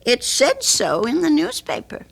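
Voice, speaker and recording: An actress playing an earnest, troubled older landlady in an old-time radio drama, with a hesitant, halting delivery. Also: high-pitched, wildly swooping pitch, vocal fry, exaggerated voice.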